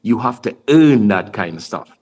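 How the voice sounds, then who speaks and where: A man's voice: lowish voice, expressive, moderate pace, a little mellow. A man from Trinidad answering audience questions at a sports symposium, earnest and explanatory, often restarting his words. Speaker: basketball program speaker.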